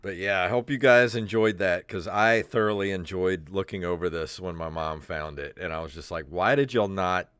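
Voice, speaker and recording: Low-pitched American man, close and dry. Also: normal speed, few pauses, a bit bright, smooth, dry recording. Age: 50s. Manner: amused, self-deprecating, laughs easily. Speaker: podcast host. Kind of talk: casual joking chat, reading aloud from old papers.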